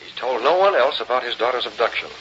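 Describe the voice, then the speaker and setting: An actor playing a determined young newspaper publisher with an insistent, clipped way of speaking, in an old radio drama. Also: male voice, medium pitch, lively intonation, quick pace, fairly bright tone, natural voice. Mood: plotting. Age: young adult. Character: determined, probing young newspaper publisher, secretly a masked crimefighter. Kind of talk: 1930s-40s radio drama acting. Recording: dull, noisy old radio recording.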